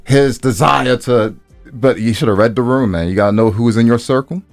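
A man co-hosting a podcast, chatting informally and often pausing to search for his words. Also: mid-range voice, no echo, faint music behind. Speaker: podcast co-host and audio drama producer. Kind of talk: casual podcast chat.

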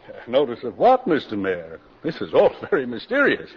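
A man's voice: medium pitch, huge pitch swings, moderate pace, breathy edge. A man playing a formal, doubtful city health commissioner in a 1950s radio drama. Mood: puzzled, formal. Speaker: actor. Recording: dull vintage 1950s radio recording.

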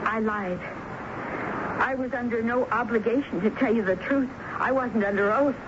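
An actress playing a lovelorn, defiant jilted woman confessing in a 1970s radio drama, with halting, dramatic delivery. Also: a little high, natural voice. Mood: defiant, wounded. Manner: dramatic, halting, repeats words for emphasis. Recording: dull, noisy 1970s radio broadcast recording.

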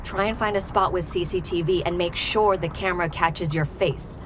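An actress playing an unflappable fugitive schemer in an audio drama, brisk, deadpan and authoritative. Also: female voice, fairly low pitch, close to an everyday voice. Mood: commanding. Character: cool, commanding woman fugitive and schemer, unflappable. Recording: vehicle noise behind.

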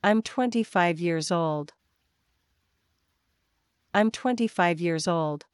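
A woman reading simple example sentences for learners, slowly and deliberately, saying each one twice. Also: on the low side, clear, resonant tone. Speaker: English teacher.

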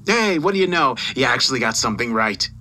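A man's voice: medium pitch, animated, quick pace, slight background noise, pitched above the performer's own voice. A man playing a furious, condescending newspaper boss who berates his employee in a dramatised audio story. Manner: condescending, mocking, forceful.

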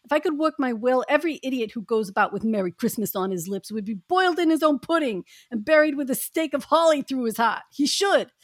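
A woman's voice: high voice, animated, brisk, hardly any pauses, no echo, voice pushed up. A woman voicing a bitter, miserly old man, snapping and scornful.

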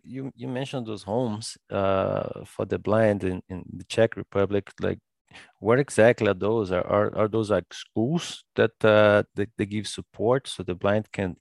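A man with a low, gravelly voice hosting an interview podcast. He talks haltingly, full of fillers and repeated words, and the sound is dry and close. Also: darkish tone, no echo. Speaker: podcast host. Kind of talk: conversational interview.

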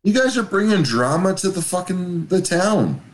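A man in his thirties chatting casually as a podcast co-host, animated and playful, swearing freely. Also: high-pitched, moderate pace. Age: 30s. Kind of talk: casual chat about a TV show.

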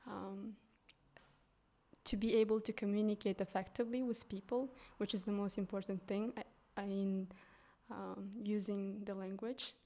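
A young woman from Kyrgyzstan with a Kyrgyz accent, a guest English teacher speaking English as a third language, pausing often with ums and restarts. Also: mid-range voice, little pitch movement, dark tone. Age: young adult. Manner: hesitant, many ums and restarts. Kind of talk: casual interview.

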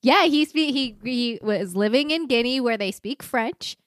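Animated woman in casual chat. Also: fairly high pitch, normal speed, dry recording, clean studio sound. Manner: animated, acts out quotes, laughs often. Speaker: podcast co-host.

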